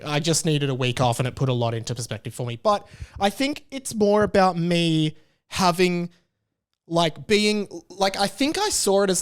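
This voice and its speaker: A man's voice: a little high, clear, resonant tone. A man hosting his own podcast, talking casually in a rambling, candid way and often stopping to restart his sentences. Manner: rambling, self-interrupting, candid. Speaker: podcast host. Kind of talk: casual solo podcast chat.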